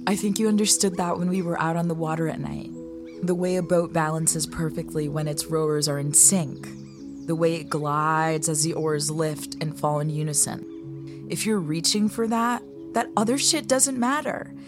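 A woman's voice: sits low, steady tone, moderate pace, over music, close to an everyday voice. A woman playing a concerned, frank young college rowing mentor in a naturalistic audio drama. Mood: earnest, passionate. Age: young adult. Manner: frank, earnest.

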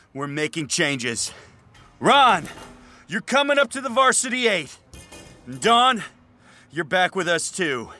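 A man's voice: sits high, pushed and bright, exaggerated voice. An actor playing a domineering young male rowing captain in an audio drama, speaking in a commanding, blunt manner.

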